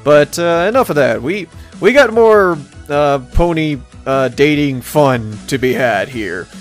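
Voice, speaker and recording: Animated man doing playful game commentary. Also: on the high side, unhurried, soft background music. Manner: playful, rambling, reacting aloud to the game. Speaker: let's play host. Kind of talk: casual game commentary mixed with reading aloud.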